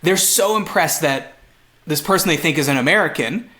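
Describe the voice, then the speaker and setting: Male podcast co-host from Montreal, highish forceful voice, very expressive, joking casual banter. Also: moderate pace. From Montreal, Canada. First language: English. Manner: animated, joking, swears casually. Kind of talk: casual comedic podcast chat.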